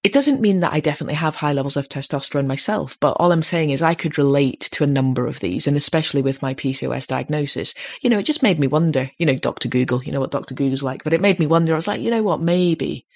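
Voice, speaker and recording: A woman in her late thirties with a slightly deep voice, chatting casually as a podcast host and growing animated when she makes a point. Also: fast talker, few pauses. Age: 30s. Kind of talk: casual chat.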